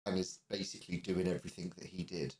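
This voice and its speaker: Low-voiced man chatting casually. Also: fairly even intonation, fast, a little mellow. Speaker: podcast co-host. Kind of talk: casual chat.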